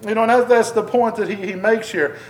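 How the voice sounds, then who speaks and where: A man's voice: sits high, fairly even intonation, a little fast, low-quality recording. A man preaching a conversational sermon into a microphone, restarting phrases and pressing questions at his listeners. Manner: conversational, repeats and restarts, rising emphasis on questions. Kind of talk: sermon, Bible teaching. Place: church building.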